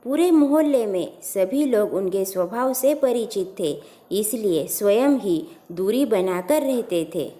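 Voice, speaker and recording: A woman's voice: medium pitch, animated, takes it slowly, dark tone. A woman narrating a scripted first-person family story as a storyteller.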